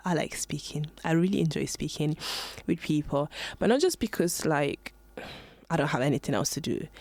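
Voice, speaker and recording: Young woman, nineteen, podcast host, low pitch, dry recording, casual rambling talk, slightly nervous. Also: darkish tone, no echo. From Ghana, born in Italy, living in the UK. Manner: chatty and earnest, many fillers like "um" and "like". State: a bit nervous. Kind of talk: casual solo podcast monologue.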